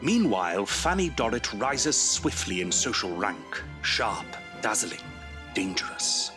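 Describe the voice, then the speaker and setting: Male narrator for period audio drama, fairly low, storytelling delivery over clear music. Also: big pitch swings, takes it slowly. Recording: music behind the voice.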